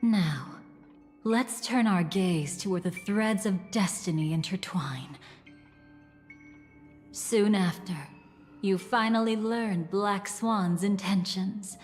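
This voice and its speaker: An actress voices an enigmatic woman from a video game, speaking slowly in a medium register. She is theatrical and teasing, with quiet menace under her poise. Long reverb trails her words over faint music. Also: medium pitch, close to an everyday voice. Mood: solemn storytelling. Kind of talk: dramatic video game narration and dialogue.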